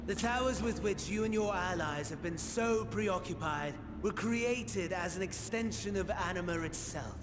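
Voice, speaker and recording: A man's voice: high voice, normal speed, hardly any pauses, noisy background, natural voice. An actor playing a mocking male villain who speaks with sneering, theatrical glee in a game cutscene. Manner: sneering, theatrical, playful mockery. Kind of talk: video game cutscene acting. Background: orchestral game score.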